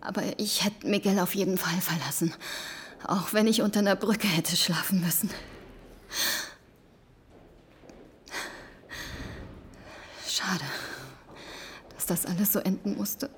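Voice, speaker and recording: An actress playing a bitter, resigned widow, weary and defensive under police questioning in a crime radio drama. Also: female voice, mid-range voice, steady tone, moderate pace, a little hiss or hum, close to an everyday voice. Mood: resigned regret.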